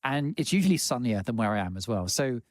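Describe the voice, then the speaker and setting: A man's voice: mid-range voice, big pitch swings, quick pace, darkish tone, no echo, clean studio sound. A man with an English accent hosting a podcast interview, chatty and a little hesitant. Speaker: podcast host. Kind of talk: casual interview.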